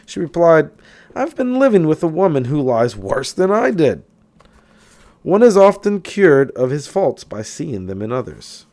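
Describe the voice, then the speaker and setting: A man narrates a self-help book aloud. His intonation moves up and down in an animated way, and he leans on key phrases with clear emphasis. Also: on the high side, measured pace. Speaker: audiobook reader.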